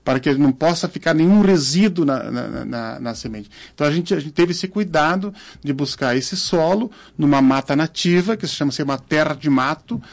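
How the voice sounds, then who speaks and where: A man in his fifties with a southern Brazilian accent, answering interview questions earnestly and haltingly, repeating words as he searches for phrasing. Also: fairly high pitch, expressive, gravelly. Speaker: interview guest, organic farmer.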